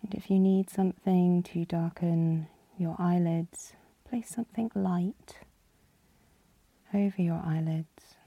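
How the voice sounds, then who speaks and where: A woman leading a guided yoga nidra relaxation as the guide, calm and soothing, with a soft, breathy, husky voice. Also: on the low side, slow pace, no echo, highs cut off. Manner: calm, soothing, gently instructing.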